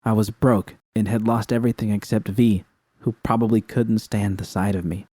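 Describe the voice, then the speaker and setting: A man's voice: on the low side, fairly even intonation, normal speed, dark tone, no echo, clean studio sound. A man narrating a first-person fan story aloud in an earnest, storytelling manner. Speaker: reader.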